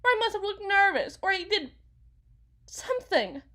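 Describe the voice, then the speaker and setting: A woman playing an anxious, fragile wife and mother in an ASMR roleplay, rambling haltingly and correcting herself as if blaming herself. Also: very high-pitched, big pitch swings, pushed and bright, heavily acted. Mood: fretful, self-blaming. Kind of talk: ASMR dramatic monologue roleplay.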